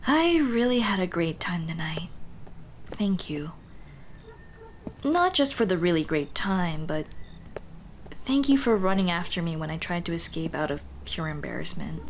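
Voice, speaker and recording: A woman playing a shy, earnest Dullahan schoolteacher in an ASMR roleplay, speaking softly and expressively. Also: lowish voice, expressive, a little slow, pauses often, a little hiss or hum. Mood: tender, grateful. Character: shy, earnest female Dullahan teacher, headless monster girl on a date.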